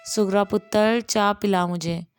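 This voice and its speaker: Woman voicing a coaxing older village uncle, unhurried. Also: medium pitch, fairly even intonation, soft background music, natural voice.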